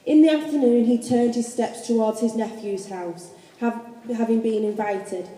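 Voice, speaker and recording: A woman's voice: on the high side, fairly even intonation, normal speed, dark tone. A woman narrating a radio play in a storybook style. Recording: echoey hall, long reverb.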